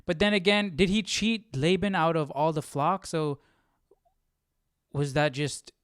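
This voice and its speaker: Clear-voiced man musing casually, dry sound. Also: a little high, fairly even intonation, unhurried, a bit bright, no echo. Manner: questioning, thinking aloud, often ending on "right?". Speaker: Bible study host. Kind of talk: casual live commentary.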